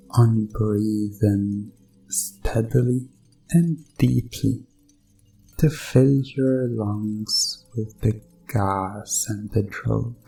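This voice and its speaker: Very slow, creaky sinister doctor, acted by a man. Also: lowish voice, dark tone, full character voice, rough recording. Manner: slow, soothing, hypnotic, repetitive. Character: sinister male doctor, soothing, calmly menacing. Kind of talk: hypnosis ASMR roleplay.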